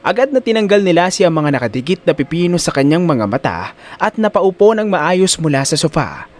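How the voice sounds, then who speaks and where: A man reads a scripted dramatic story as its narrator. His pitch moves in a lively way as he tells it. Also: fairly quick.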